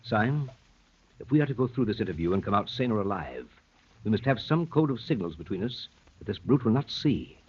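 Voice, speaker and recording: Husky, grim old professor. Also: male voice, fairly low pitch, very animated. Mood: brisk, sardonic. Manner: grave, deliberate, sardonic. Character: grim, stern old professor, a secret detective. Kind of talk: 1930s radio drama acting. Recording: dull lo-fi 1930s radio recording.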